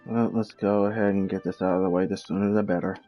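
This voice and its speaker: Casting: male game streamer, low and unhurried, dryly grumbling in casual commentary over prominent game music. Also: fairly even intonation, highs cut off. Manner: halting, grumbling, dry. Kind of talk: casual live gameplay commentary.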